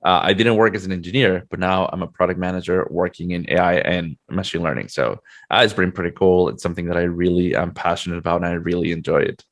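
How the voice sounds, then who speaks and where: A man's voice: deep voice, steady tone, fast talker, hardly any pauses. A man from Mexico City with a Mexican accent, a native Spanish speaker, answering interview questions conversationally as a guest. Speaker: interview guest, product manager.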